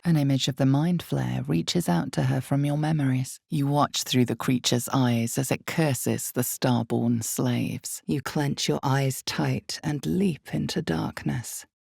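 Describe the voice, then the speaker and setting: Female game narrator: omniscient, ominous, dark mellow tone with heavy fry, measured dramatic delivery, very dry close sound. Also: steady tone, no room sound, heavily acted. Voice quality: creaky, low. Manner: slow, deliberate, dramatic storytelling. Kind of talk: scripted second-person dark fantasy narration.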